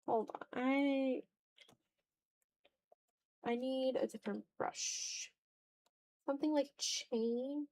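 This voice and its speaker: Casual, animated young woman streamer. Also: sits high, slow pace, long pauses, slight background noise. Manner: animated, slangy, self-deprecating. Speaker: art livestreamer. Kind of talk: casual livestream chat while drawing.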